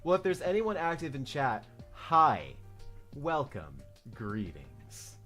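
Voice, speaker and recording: Male read-along co-host, casual and very animated in pitch, music underneath. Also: slow. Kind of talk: casual chat between script readings.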